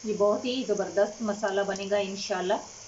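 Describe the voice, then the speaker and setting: A woman cooking-channel host explaining a home recipe step by step in a calm, unhurried way with frequent fillers. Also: mid-range voice, muffled recording, slight background noise. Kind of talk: recipe instruction over cooking footage. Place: home kitchen.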